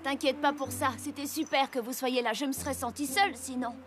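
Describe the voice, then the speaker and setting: A woman voicing a young, good-hearted orphan boy, earnest and expressive, in a French children's cartoon. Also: high voice, brisk, loud music behind the voice, natural voice. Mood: warm, friendly.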